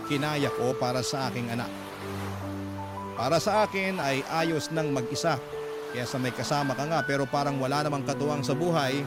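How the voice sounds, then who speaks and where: Male radio host, highish voice, sentimental letter reading, dramatic narration, music bed.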